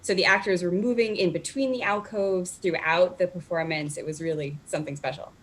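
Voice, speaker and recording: A woman's voice: fairly low pitch, fairly even intonation, a little fast, low-quality recording. A woman chatting casually as an interview guest on a video call, in a talkative, informal way. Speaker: library staff member, interview guest. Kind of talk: casual chat. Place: home, on a video call with a virtual background.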